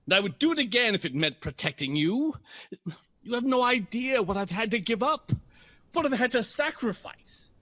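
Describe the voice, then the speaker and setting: Proud, high-pitched jazz bandleader. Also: male voice, sing-song, normal speed, sharp tone, full character voice. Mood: indignant, defensive. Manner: theatrical, emphatic, indignant. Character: proud famous jazz bandleader, older mentor, defensive and controlling. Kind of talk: audio drama acting.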